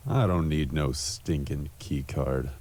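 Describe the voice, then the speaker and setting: Very deep, brooding noir antihero. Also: male voice, slow pace, background noise. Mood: wry, cynical. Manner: deadpan, brooding. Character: world-weary cynical male cyberpunk noir antihero, brooding narrator. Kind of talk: noir monologue voice acting in an adventure game.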